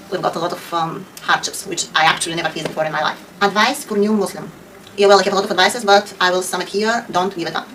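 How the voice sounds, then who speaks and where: A Bulgarian woman with a Bulgarian accent, non-native English, talks very quickly and earnestly, answering questions about her faith. Her voice sits a little away from the mic. There is a faint hiss behind her. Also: mid-range voice, fairly bright tone, far from the mic, rough recording. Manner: earnest, devout, frequent Arabic religious phrases. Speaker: convert sharing her story. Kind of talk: answering viewer questions, testimony.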